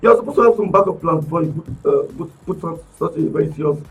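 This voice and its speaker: A Nigerian man arguing as a studio guest on a radio talk show, insistent and quick, repeating words as he presses his point. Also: fairly high pitch, expressive, breathy edge, recorded from a distance, faint music behind. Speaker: studio guest, media analyst.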